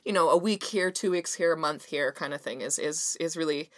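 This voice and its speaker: A woman's voice: sits low, brisk, fairly bright tone, no echo, studio quality. A woman co-hosting a podcast in casual chat, playful and joking, loose with swearing. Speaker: podcast co-host.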